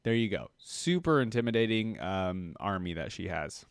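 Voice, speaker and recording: A man's voice: fairly low pitch, expressive, normal speed. A man giving casual reaction commentary as host of a gaming video.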